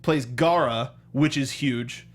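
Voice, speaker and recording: A man's voice: medium pitch, takes it slowly. A man co-hosting a casual film review chat, acting out reactions in quoted asides. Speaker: co-host of a film review show.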